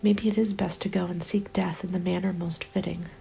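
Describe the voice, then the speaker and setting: A woman acts out a weary survivor of the apocalypse keeping a bleak diary. The voice is soft, mellow and gravelly with fry, often sinking into a breathy whisper. The delivery is sombre and resigned, in ASMR roleplay style with music behind it. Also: lowish voice, level tone, brisk, low-quality recording. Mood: bleak resignation.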